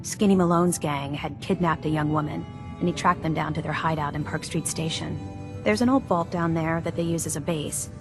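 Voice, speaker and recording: An actress playing a downcast, loyal American secretary at a detective agency in a video game, world-weary in manner. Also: female voice, low-pitched, fairly even intonation, moderate pace. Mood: worried, wistful. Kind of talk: video game dialogue acting. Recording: voice over game music.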